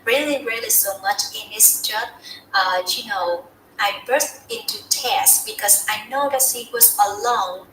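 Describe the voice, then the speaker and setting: A woman's voice: mid-range voice, unhurried, bright, forceful tone, reverberant, a little hiss or hum, poor audio. Earnest young Vietnamese-accented woman. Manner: earnest, heartfelt, with frequent restarts. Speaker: student speaker. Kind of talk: practice talk in a TED style.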